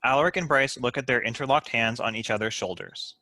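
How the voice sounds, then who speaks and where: A man's voice: mid-range voice, brisk, bright, forceful tone, dry recording. A man reading stage directions as scripted narration for a table read.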